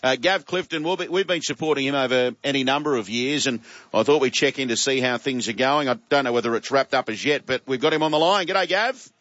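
A man's voice: fast talker, few pauses, dry recording. A man with an Australian accent hosting talk radio, upbeat and matey with his guests. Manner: warm matey banter, upbeat. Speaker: radio host. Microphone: studio microphone. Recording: muffled low-fidelity audio.

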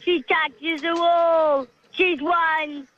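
Woman putting on a high, giggly race commentator. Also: fairly even intonation, very slow, muffled recording, heavily acted. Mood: giggly excitement. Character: woman doing an excitable swimming race commentary with starter beep. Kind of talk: mock sports commentary.

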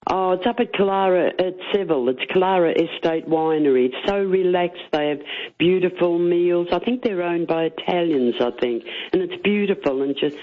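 Older female caller, warm and chatty, dull radio sound. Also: fairly low pitch, moderate pace.

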